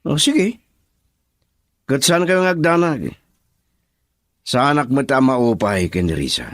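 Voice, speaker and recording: A young male suitor in a radio drama, pushy and scornful. His pitch swings widely, and the sound is close and dry. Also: very animated, takes it slowly, no room sound. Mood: scheming, incredulous. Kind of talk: Ilocano radio drama acting.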